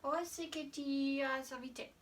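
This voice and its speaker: A woman in her thirties from Spain hosting an interview, asking simple questions slowly and carefully and murmuring soft acknowledgements. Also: high voice, steady tone, recorded from a distance. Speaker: host interviewing a childhood friend. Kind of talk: scripted interview questions in learner French.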